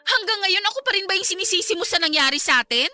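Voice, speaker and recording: A woman's voice: extremely high pitch, animated, very fast, bright, forceful tone, no echo, soft background music, full character voice. A woman with a Filipino accent playing a hurt, suspicious young wife in a radio drama. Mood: hurt, angry. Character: hurt, suspicious young wife confronting her cheating husband.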